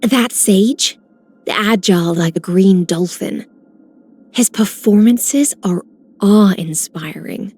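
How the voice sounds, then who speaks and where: A woman's voice: mid-range voice, slow, dark tone, breathy edge. A woman voicing a composed, eloquent heroine in a video game, formal and poised. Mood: playful, admiring. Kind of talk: video game character voice lines.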